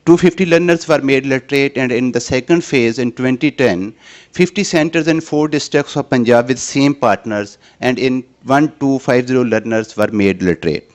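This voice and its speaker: A man from Pakistan, giving a conference presentation in a hesitant, halting way with many restarts. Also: mid-range voice.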